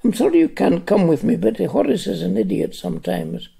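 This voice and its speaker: An elderly man acts out an exasperated but fond Scottish woman from a novel. The delivery is scolding and teasing, with a creaky, husky voice that swoops in pitch. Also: expressive, brisk, warm tone, heavily acted. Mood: brisk, fond.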